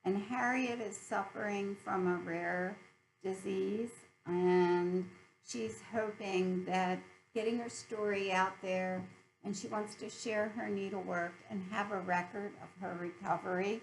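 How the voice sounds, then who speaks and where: A woman's voice: mid-range voice, steady tone, measured pace, a little hiss or hum, rough recording. A middle-aged American woman chatting casually and cheerfully to viewers as host of a hobby video channel.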